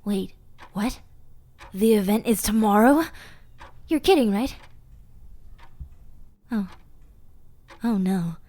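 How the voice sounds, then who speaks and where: A woman's voice: mid-range voice, slow, long pauses, warm tone, lightly acted. A woman voicing a sweet, eager, scatterbrained monster girl in a roleplay audio drama, chatting and rambling bashfully to the listener. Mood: dismayed, sheepish.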